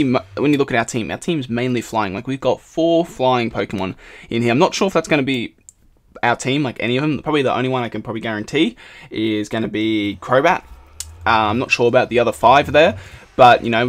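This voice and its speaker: Aussie guy hosting a game vid, bit hoarse, rambly and upbeat, lots of ums. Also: mid-range voice. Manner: rambling, upbeat, many fillers and restarts. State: slightly hoarse from shouting. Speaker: gaming channel host. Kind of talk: casual game commentary.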